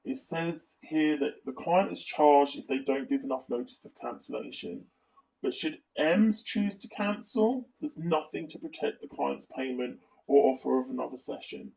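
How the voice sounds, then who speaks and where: A man delivers an exposé-style commentary in a middling, creaky voice. He sounds critical yet matter-of-fact as he lays out and reads through evidence. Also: mid-range voice, normal speed, darkish tone, poor audio. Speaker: video commentator presenting an exposé. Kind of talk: spoken commentary reading out evidence.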